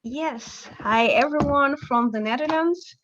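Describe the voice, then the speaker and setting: A woman's voice: high-pitched, slow, fairly bright tone, dull audio, slight background noise. A woman from Romania who is studying abroad, introducing herself hesitantly on a video call. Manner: hesitant, fillers. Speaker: student. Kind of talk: casual self-introduction.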